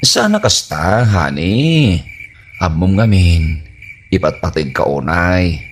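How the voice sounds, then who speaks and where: A man's voice: low voice, very animated, slow pace, slight background noise, exaggerated voice. A man playing a sly, sweet-talking cheating husband in an Ilocano comedy radio drama, wheedling and evasive, with an Ilocano Filipino accent.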